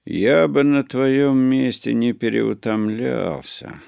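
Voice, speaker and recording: A man's voice: mid-range voice, slow, creaky, darkish tone, clear, resonant tone, no echo. A man voicing a wry legless war veteran. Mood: teasing. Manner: dry, cautionary, conspiratorial.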